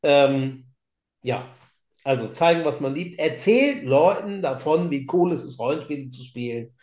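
A man's voice: a little high, lively intonation, a little slow, darkish tone. A man chatting casually on a podcast, enthusiastic and stumbling over his words. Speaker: podcast panelist. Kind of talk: casual chat. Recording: roomy, echoing sound.

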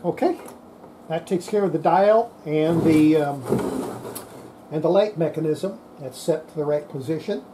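An older man giving an unscripted hands-on repair demonstration, halting and muttering to himself as he works at a bench. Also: a little high, noisy background. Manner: halting, muttering to self while working. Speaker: radio repair hobbyist, presenter. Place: indoor workbench.

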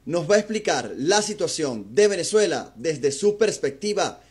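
A man's voice: fairly high pitch, very animated, moderate pace, a little mellow, husky. A man commenting on clips in a scornful, emphatic way. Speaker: clip commentator. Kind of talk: opinion commentary.

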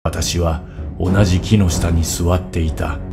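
A man playing a solemn, scheming bear warrior who narrates in a measured way in a game cutscene. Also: low-pitched, steady tone, creaky, loud music behind the voice. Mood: solemn, brooding. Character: calm, scheming male bear warrior, recounting his plans. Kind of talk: Japanese scripted cutscene narration.

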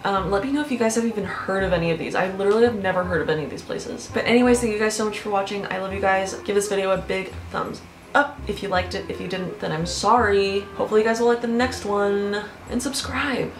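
A woman in her twenties telling stories to camera in a casual vlog, chatty and animated, with frequent swearing and laughter, recorded in her bedroom. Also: medium pitch, quick pace, echoey room, a little hiss or hum. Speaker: YouTuber. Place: bedroom with fairy lights.

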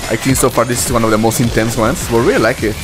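A man in his twenties giving casual reaction commentary into a desk microphone, with slightly halting, repetitive phrasing. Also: medium pitch, fairly even intonation, music in the background, poor audio. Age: 20s. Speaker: reaction video host. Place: small indoor room at a desk. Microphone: desktop microphone up close.

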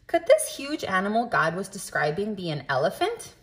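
A woman reading a children's book aloud in a deliberate, expressive way, as if speaking directly to young listeners. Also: medium pitch. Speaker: storybook reader. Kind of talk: children's read-aloud narration.

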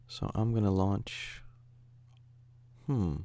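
A man narrating a software tutorial as an instructor, explaining in a conversational way. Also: lowish voice, slow, no echo. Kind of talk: tutorial narration over screen demo.

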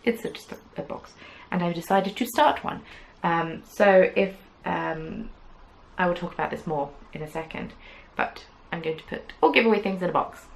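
Gravel-edged woman chatting about yarn. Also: lowish voice, normal speed, a little hiss or hum, poor audio. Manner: chatty, rambling, many "um"s, playful asides. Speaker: knitting podcast host. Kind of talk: casual chat to camera. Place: small indoor craft room with yarn shelves. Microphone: camera at desk distance.

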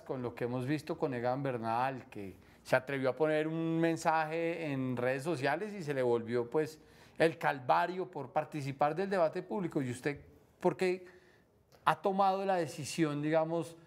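A man in his thirties with a Colombian accent, hosting a studio interview and asking measured, probing questions. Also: fairly high pitch. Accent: Colombian Spanish. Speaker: TV host interviewer. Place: TV studio set. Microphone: lapel mic.

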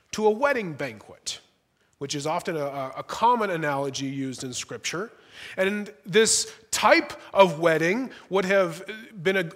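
A man's voice: sits high, fairly bright tone. A young man preaching a sermon as pastor in a church sanctuary, conversational with light humor and small restarts. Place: church sanctuary, large indoor room. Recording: long church reverb.

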